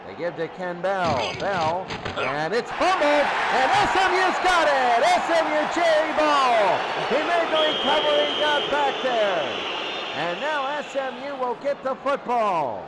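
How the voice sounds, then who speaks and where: A man doing excitable play-by-play American football commentary in short, clipped phrases. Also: very high voice, unhurried, very noisy. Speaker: play-by-play sports announcer. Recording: loud crowd and music behind. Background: crowd and music.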